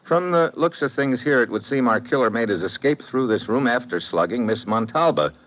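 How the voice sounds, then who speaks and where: An actor playing a terse, wisecracking American investigator, delivering tough noir narration and dialogue for radio. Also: male voice, medium pitch, lively intonation, a little fast, fairly bright tone, close to an everyday voice. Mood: brisk, probing. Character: hard-boiled, wisecracking American investigator hero. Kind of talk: first-person noir narration and radio acting. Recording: noisy old-time radio recording.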